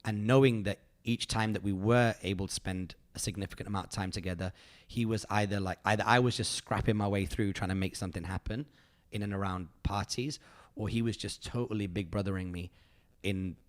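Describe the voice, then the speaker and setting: Low-voiced man, rambling earnestly. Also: steady tone, a little fast, hardly any pauses. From United Kingdom. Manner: rambling, filler-heavy, earnest and grateful. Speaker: podcast host. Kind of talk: casual reflective chat.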